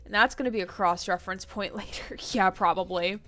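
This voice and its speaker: A woman's voice: medium pitch, fairly quick, fairly bright tone. Chatty streamer woman with a stuffed-up nose. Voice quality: nasal. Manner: joking and chatty. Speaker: streamer playing a game. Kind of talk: casual let's-play chat, reading dialogue aloud.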